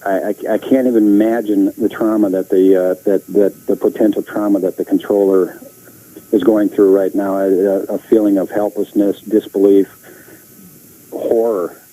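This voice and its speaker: Halting, flat, low-voiced man. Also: slight background noise, rough recording. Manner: halting, repeats words. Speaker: interviewee, air traffic controller. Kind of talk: unscripted interview answer.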